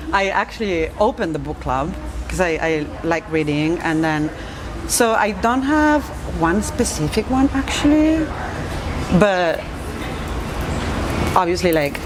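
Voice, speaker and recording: An Italian-accented woman speaks in a low, relaxed voice, pausing with fillers and restarting her sentences. She is a bartender being interviewed. Clear background noise sits under her. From Italy. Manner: hesitant, many fillers and restarts. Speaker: bartender guest. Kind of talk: casual interview chat.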